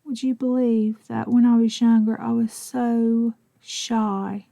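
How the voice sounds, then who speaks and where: A middle-aged Southern woman chats and rambles slowly while she crafts, her voice gravelly and mellow. She drifts into half-whispers and pokes fun at herself. The sound is close and dry. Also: a little high, little pitch movement, dry recording. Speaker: crafting vlogger. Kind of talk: chatty craft tutorial narration.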